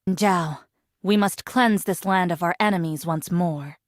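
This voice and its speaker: A woman's voice: on the low side, dry recording, studio quality, lightly acted. Solemn, formal warrior woman, voiced by an actress. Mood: solemn, resolute. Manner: formal, measured, archaic phrasing. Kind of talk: video game character voice lines.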